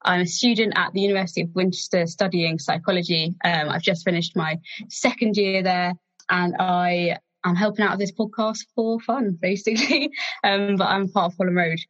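A woman's voice: fairly low pitch, steady tone, normal speed, a bit bright, dry recording, dull audio. A twenty-year-old woman co-hosting a casual podcast, light and giggly as she talks. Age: young adult. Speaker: podcast co-host.

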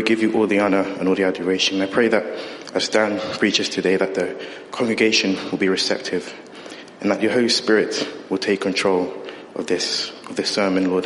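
Low-voiced man reading in an echoey church. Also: slight background noise. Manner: earnest, slightly halting reading. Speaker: church member leading reading and prayer. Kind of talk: scripture reading and prayer. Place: church building.

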